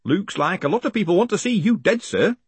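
A man's voice: high-pitched, expressive, brisk, slightly rough, no room sound, voice pushed up, full character voice. A man voicing a chatty, cheerfully businesslike hangman who nags politely in chummy, colloquial speech, as in an audiobook.

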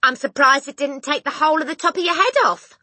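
A woman's voice: fast talker, sharp tone, dry recording. A woman voicing a hysterical, scornful middle-aged wife and mother in a storybook, screechy and scolding. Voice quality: shrill, screechy. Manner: scolding, exasperated, dramatic.

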